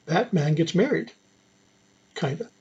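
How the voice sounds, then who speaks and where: A man's voice: a little high, lively intonation, measured pace, a little mellow, breathy edge, highs cut off. A man presenting old radio programs in an informal, musing, conversational way.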